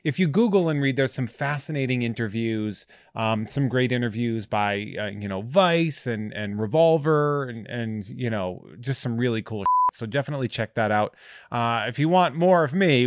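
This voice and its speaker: A man's voice: mid-range voice, dry recording, clean studio sound. A man hosting a podcast with a slight lisp, chatting and giving plugs in an informal, slangy, effusive way. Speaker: podcast host. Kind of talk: casual chat and plugs.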